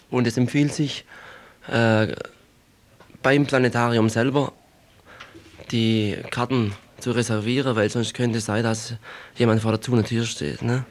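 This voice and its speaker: A man, a musician, answering as a guest in an unscripted radio interview, reflective and wordy, with hesitant, halting phrasing. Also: medium pitch.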